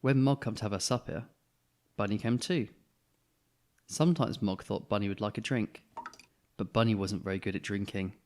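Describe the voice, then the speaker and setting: A man narrating a children's storybook in an expressive way, as a reader who acts out the dialogue. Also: lowish voice, normal speed, long pauses.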